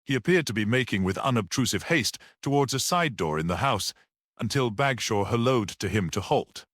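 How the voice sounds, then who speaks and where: A man's voice: mid-range voice, expressive, normal speed, a bit bright, no echo, clean studio sound. A man narrating a mystery story as a scripted, dramatic audiobook reading.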